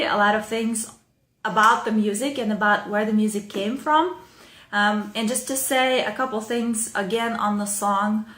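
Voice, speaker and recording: Woman, Ukrainian accent, casual vlog host, chatty with fillers, mid pitch, roomy echo. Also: fairly bright tone. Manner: chatty, loose, with frequent fillers and small laughs. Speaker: songwriting video host. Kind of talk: casual talk to camera with reading aloud. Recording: echoey room.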